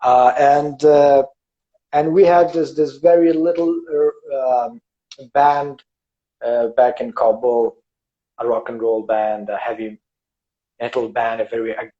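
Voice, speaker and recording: A man from Afghanistan hosting a casual podcast interview, speaking haltingly with many fillers and restarts. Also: medium pitch, fairly even intonation, dark tone, highs cut off. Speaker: podcast host. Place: Western Australia.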